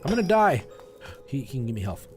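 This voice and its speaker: A man hosts a live game stream in an informal, conversational way. His voice sits at an average male pitch, and he rambles through tips and asides for his viewers. Music plays behind him. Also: sing-song, fairly quick. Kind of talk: casual live stream chat while playing a retro game, giving tips to chat.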